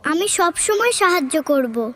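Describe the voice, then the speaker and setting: A woman's voice: very high voice, animated, measured pace, no room sound. A woman voicing an eager, curious and devout little boy in a children's educational cartoon. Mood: devout, glad.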